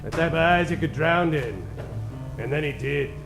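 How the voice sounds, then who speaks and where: A theatrical radio narrator and announcer, played by a man in old-time noir style. His high voice rises and falls over clear music in a roomy space. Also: normal speed. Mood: dramatic. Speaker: host and narrator. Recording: echoey stage with loud music bed.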